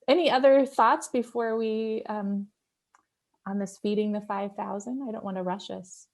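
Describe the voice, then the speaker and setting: A woman's voice: medium pitch, fairly even intonation, moderate pace. A woman leading a church Bible study in a casual, reflective discussion style, often checking in with listeners as she explains. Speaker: group leader and pastor of a church Bible study.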